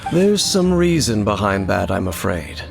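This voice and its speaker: An actor playing a stoic young male wanderer in a game, calm and measured. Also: lowish voice, vocal fry, exaggerated voice. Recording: game audio with music underneath.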